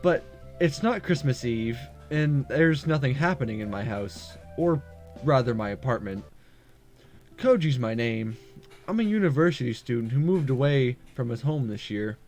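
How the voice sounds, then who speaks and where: A man's voice: mid-range voice, expressive, normal speed, darkish tone, lightly acted. Man reading as a lonely, reserved male student. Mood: wistful. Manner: introspective first-person narration, polite and subdued. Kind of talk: visual novel read-aloud. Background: music.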